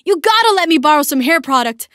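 A woman's voice: sits high, lively intonation, fast, pushed and bright, no echo, clean studio sound. A young woman voicing a cocky, playful female fighter for a video game, with breezy, teasing, slangy delivery. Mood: teasing, upbeat. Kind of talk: video game character voice lines.

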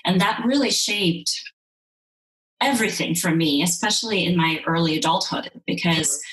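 A woman who grew up in Ukraine speaking Russian, her voice sitting a little low. She talks in a measured, thoughtful way, often restarting her phrases. She is heard over a video call from a room at home. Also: fairly bright tone, rough recording. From Ukraine, then Soviet Union. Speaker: guest, sex and intimacy coach. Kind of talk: candid interview.

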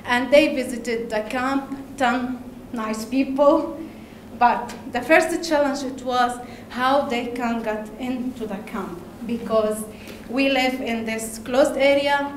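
A woman from Lebanon with an Arabic accent, giving a conference talk in halting English with hesitations and laughs. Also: on the high side, a little hiss or hum. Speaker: conference speaker. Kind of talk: presentation talk. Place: large darkened conference hall. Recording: echoey hall, faint ambience.

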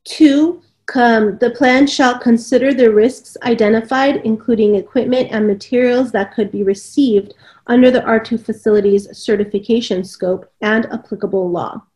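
A woman's voice: on the high side, steady tone, normal speed, darkish tone. A woman in her thirties on a video call, reading requirements aloud and commenting on them. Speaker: consultant co-host. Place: indoor kitchen at home. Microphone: video call computer microphone.

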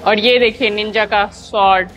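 A woman in her thirties giving a chatty travel vlog tour with a lapel mic, pausing with "uh" as she explains things to viewers. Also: mid-range voice, fairly even intonation, fairly bright tone, faint music behind. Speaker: travel vlogger. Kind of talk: casual travel vlog narration. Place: outdoor theme park. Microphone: clip-on lapel mic.

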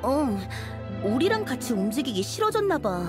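High, spirited young game heroine. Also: female voice, normal speed, over loud music. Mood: friendly. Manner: friendly, expressive. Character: spirited, upbeat young woman rock musician. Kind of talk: video game voice acting.

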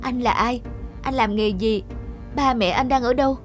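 A woman's voice: on the high side, normal speed, soft background music, close to an everyday voice. A woman voicing a caring, naive young nurse in a cartoon, earnest and polite. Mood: anxious, pleading. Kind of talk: animated cartoon dialogue.

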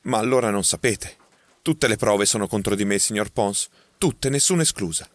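A man's voice: sits low, fairly quick, no room sound. A man voicing a frightened young man accused of murder, his words tumbling out in agitation. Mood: desperate. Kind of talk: audiobook character voicing.

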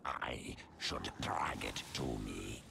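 A man's voice: very deep voice, expressive, takes it slowly, vocal fry, background noise, exaggerated voice. A man voicing an ancient, primal alien pack-leader beast for a video game, growling in terse, guttural, broken phrases. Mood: eager, menacing. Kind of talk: video game character acting.

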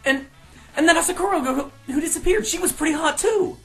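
A woman's voice: extremely high pitch, quick pace, over music. A woman voicing an earnest, complaining teenage schoolboy in comic anime fan dub outtakes.

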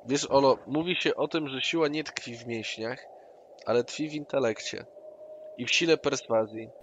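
A man playing a tabletop game online, speaking in character in a smooth, persuasive way and using his companion's name again and again to coax him. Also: medium pitch, normal speed. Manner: coaxing, keeps repeating the other's name. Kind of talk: in-character roleplay, persuasive schemer. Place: home, online session. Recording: online session audio with faint noise.

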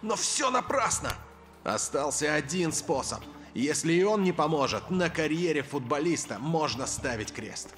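Самолюбивого футболиста с живой интонацией. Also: male voice, a little high, lively intonation, moderate pace, fairly bright tone, soft background music. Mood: dejected. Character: proud, touchy male star footballer. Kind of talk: animated cartoon dubbing.

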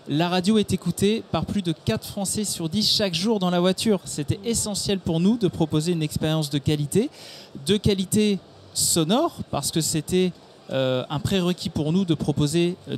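A man's voice: a little high, darkish tone. A man in his thirties with a French accent, giving articulate explanations as an executive guest in a promotional interview. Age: 30s. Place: exhibition studio stand at a motor show. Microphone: handheld microphone. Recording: clear background ambience noise.

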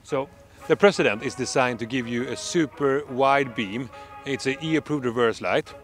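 Swedish-accented middle-aged man, mid-pitched, eager product pitch with fillers, outdoors at night, faint music behind. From Sweden. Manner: enthusiastic salesman, halting with fillers. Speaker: product presenter. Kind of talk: product demonstration vlog. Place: dark countryside road at night, outdoors.

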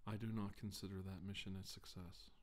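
A middle-aged man playing a shaken, solemn doctor giving a halting, subdued deposition in an ASMR roleplay. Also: low-pitched, little pitch movement. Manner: halting, hesitant, subdued, deliberate. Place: indoors, seated at a desk.

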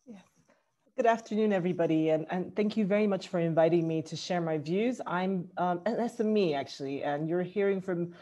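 A woman's voice: fairly low pitch, a little fast, warm tone. A middle-aged woman with a Zambian accent giving conversational panel remarks over a video call from a small office. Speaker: small business owner, webinar panelist. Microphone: headset on a video call.

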